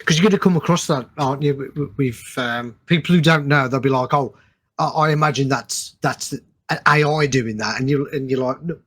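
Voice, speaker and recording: A man's voice: medium pitch, a little fast, fairly bright tone. A man hosting a casual podcast chat, reacting to his guest in an agreeable, informal way. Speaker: podcast host.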